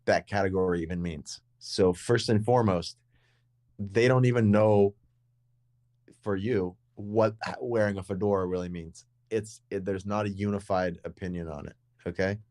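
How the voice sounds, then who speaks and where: A young man with a deep voice, co-hosting a casual podcast chat and explaining at length, with frequent restarts and repeated words. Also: fairly even intonation, moderate pace, a little mellow, no room sound, studio quality. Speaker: podcast co-host. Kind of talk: casual conversation.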